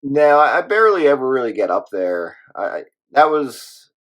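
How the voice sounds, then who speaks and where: A man's voice: medium pitch, very animated, moderate pace, fairly bright tone. A man chatting casually as a hobby radio operator, rambling along in an easygoing way.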